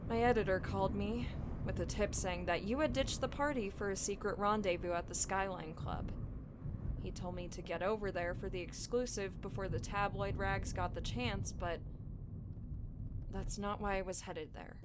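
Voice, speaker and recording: An actress plays a young female reporter in an audio drama, quick-witted and persistent. She probes wryly in a mid-range voice. Vehicle ambience is plainly audible underneath. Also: mid-range voice, fairly even intonation, noisy background, close to an everyday voice. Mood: apologetic. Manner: probing, wry.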